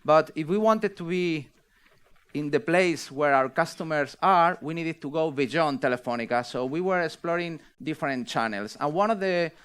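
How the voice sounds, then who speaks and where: A man presenting a product launch on stage, halting and pausing with fillers. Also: a little high, fairly bright tone, clear, resonant tone. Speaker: executive presenter at a launch event.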